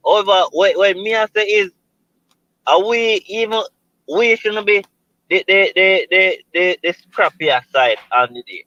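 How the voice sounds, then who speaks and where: Bright-voiced Jamaican man on a call. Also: sits high, dry recording. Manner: measured, halting restarts. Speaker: caller. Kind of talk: casual football talk. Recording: dull lo-fi call audio.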